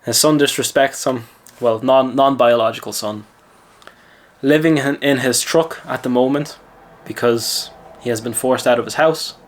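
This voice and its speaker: A man's voice: medium pitch, normal speed. A man from Lithuania with an Irish accent, a mindset coach telling a gym story in casual talk and then giving self-assured advice. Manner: chatty, self-assured, lecturing.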